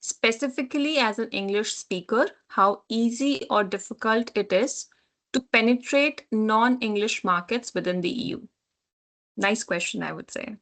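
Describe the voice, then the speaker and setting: A woman's voice: a little high, moderate pace, a bit bright. A woman moderating a webinar, relaying audience questions and adding brief comments.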